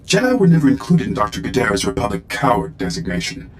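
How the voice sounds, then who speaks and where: A man's voice: mid-range voice, wildly swooping pitch, fast talker, slight background noise. A man voicing a formal, disdainful military droid in a video game, with stilted robotic phrasing. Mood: clipped, scornful. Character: disdainful, literal-minded military droid, loyal to its maker.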